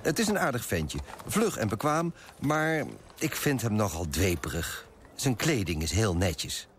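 A pompous, lively merchant. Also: male voice, lowish voice, unhurried, slight background noise. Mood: disdainful. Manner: pompous, disapproving. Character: smug, moralizing, self-righteous male Amsterdam coffee broker, narrating. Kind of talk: radio play acting with narration.

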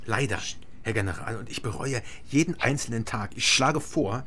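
A man's voice: mid-range voice, normal speed, natural voice. A man playing a wry, understated civilian investigator who also narrates a radio drama. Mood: earnest, polite. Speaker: narrator and lead actor. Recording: music behind the voice.

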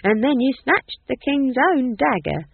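A man's voice: extremely high pitch, very animated, measured pace, creaky, slightly rough, narrow, tinny audio, pitched above the performer's own voice, heavily acted. A man voicing a stubborn, cheeky old witch in a storybook reading, with folksy, blunt speech. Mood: sly, defiant.

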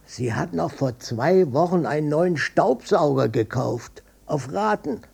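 An older man playing a stubborn, defensive widowed father in a radio drama, blunt and colloquial, with a gravelly, husky voice. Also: on the high side, lively intonation, unhurried, a little mellow, heavily acted. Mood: matter-of-fact. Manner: blunt, colloquial, swinging between offhand and touchy. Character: gruff widowed old father, stubborn, defensive, matter-of-fact.

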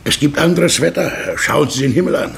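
An actor playing a brooding, mild middle-aged man in a German radio play, halting and murmuring, with a husky, creaky voice. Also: on the high side, a little hiss or hum. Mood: awkwardly polite. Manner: halting, murmuring, drifting into inward monologue.

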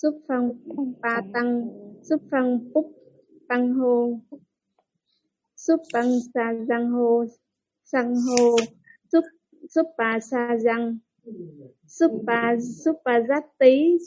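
Woman reading out very slowly. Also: fairly high pitch, darkish tone, highs cut off, a little hiss or hum. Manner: slow, careful syllable-by-syllable reading. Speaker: lay student. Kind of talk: reading Pali aloud in class.

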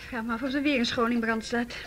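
Actress as an anxious young wife, high voice. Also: female voice, fairly even intonation, a little fast, fairly bright tone, soft background music, close to an everyday voice. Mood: tense, brooding. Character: anxious, defensive young married woman, separated from her husband. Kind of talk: naturalistic Dutch radio drama acting.

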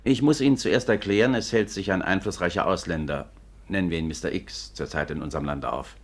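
A man playing a courteous, formal and discreet government gentleman in a 1950s German radio drama. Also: low voice, a little fast.

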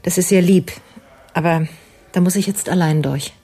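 A woman's voice: on the low side, moderate pace, lightly acted. An actress playing a weary, irritable, reserved female doctor in a naturalistic radio drama, speaking in a curt, formal way. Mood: strained, subdued.

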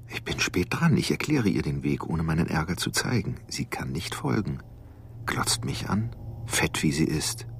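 A tightly wound, pedantic husband in a radio play. His low voice is husky and gravelly and swings widely as he seethes through a clipped inner monologue. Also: a bit bright, heavily acted. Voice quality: gravelly, husky, breaking into whispers. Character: punctilious, irritable married man tormented by violent nightmares.

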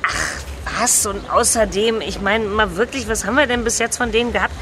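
An actress playing a pushy, flippant wife, dismissive and cajoling, in naturalistic radio drama dialogue. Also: female voice, medium pitch, moderate pace, close to an everyday voice. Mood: defiant, dismissive. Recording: noisy outdoor street with traffic.

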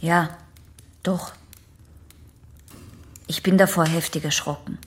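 Guarded young patient, halting phrases, rather low pitch, radio drama. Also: female voice, fairly even intonation. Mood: hesitant, evasive. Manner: hesitant, broken sentences. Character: troubled young female patient, guarded and defensive.

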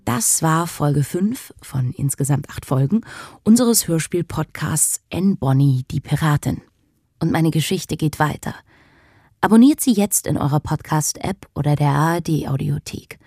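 A woman's voice: deep voice, expressive, measured pace, warm tone, slightly rough, no room sound, clean studio sound. A woman reading a scripted podcast outro in the first person, as the series' heroine. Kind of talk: scripted narration.

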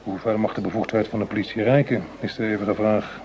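An actor playing a cautious, calculating justice minister in a radio play, speaking in deliberate, formal phrases. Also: male voice, fairly low pitch, steady tone, a little mellow, a little hiss or hum, natural voice. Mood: grave, measured.

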